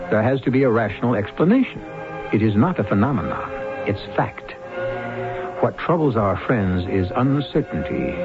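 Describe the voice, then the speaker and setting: Deep, expressive male radio mystery host. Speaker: host and narrator. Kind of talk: old radio mystery scripted narration. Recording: very muffled old radio recording. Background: loud dramatic music.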